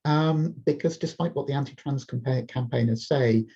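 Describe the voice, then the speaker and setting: Brief: thoughtful interviewer, gravelly husky voice, lo-fi remote audio. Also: medium pitch, moderate pace, dark tone. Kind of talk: interview questions.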